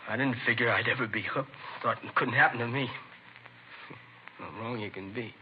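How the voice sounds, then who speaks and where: An actor playing a remorseful, streetwise teenage addict confessing in slangy, halting phrases in a 1950s radio crime drama, on a muffled, hissy recording. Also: male voice, medium pitch, lightly acted. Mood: bitter resignation.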